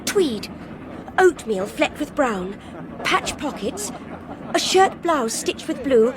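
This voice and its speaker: A woman's voice: high-pitched, big pitch swings, slow pace, crowd noise, heavily acted. An actress playing an insistent, anxious young Englishwoman on a train in a radio drama. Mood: recalling, flustered. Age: young adult. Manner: urgent, determined. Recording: noisy train ambience behind voice.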